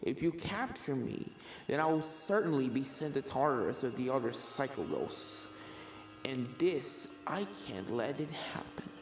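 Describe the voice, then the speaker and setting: A performer acts out a female pony ghost with a killer's calm. She speaks in a hushed, unhurried and menacing way, low in energy, over background music in a storybook reading. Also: echoey room, poor audio. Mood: resigned menace. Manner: hushed, slow, calmly menacing. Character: soft-spoken sinister female ghost mare, former serial killer.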